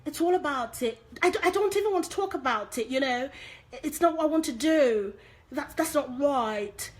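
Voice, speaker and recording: A woman's voice: high voice, animated, moderate pace, voice pushed up. A Nigerian woman putting on an affected, exaggerated fake foreign accent. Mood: mock-affected.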